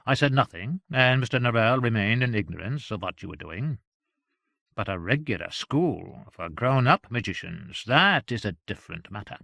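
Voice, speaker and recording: A man acting a gravelly, menacing Yorkshire servant. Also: medium pitch, expressive, moderate pace, a bit bright, dry recording. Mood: stern, insistent.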